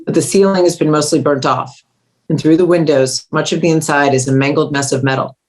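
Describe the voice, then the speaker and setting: A woman's voice: low voice, steady tone, brisk. Woman reading stage directions for a script table read, scripted narrator style.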